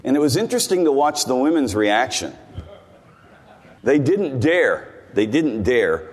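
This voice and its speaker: A man's voice: medium pitch, sing-song, unhurried, slight background noise. An older American man preaching to a congregation in a folksy, rambling way, turning to the listeners with asides. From United States. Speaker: visiting preacher. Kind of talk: sermon. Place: church hall. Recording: long hall reverb.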